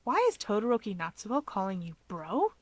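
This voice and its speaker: A woman's voice: medium pitch, animated, moderate pace, natural voice. A woman voicing a wary young male hero in a story reading, incredulous and questioning, forcing himself to be patient. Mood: stunned. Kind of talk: fan fiction audiobook character voice.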